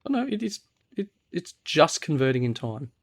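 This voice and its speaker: A man who is unwell, husky and a little nasal, giving a casual video game walkthrough as host and thinking aloud as he goes. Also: fairly high pitch, very animated, moderate pace. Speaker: host of a gaming series.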